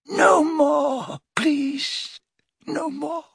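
A tormented old wizard wailing. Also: male voice, creaky, dry recording, clean studio sound, full character voice. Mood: anguished. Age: older. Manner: high wailing cries, slow and broken.

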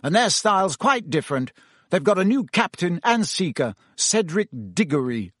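A reader puts on an intense teenage captain, lifted in pitch and pushed harder in volume. He sounds insistent and keyed up, each line pressed with urgency, close and dry on the mic. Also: sing-song, normal speed, fairly bright tone, no echo, exaggerated voice. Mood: urgent, intense. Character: teenage schoolboy team captain, intense and anxious.

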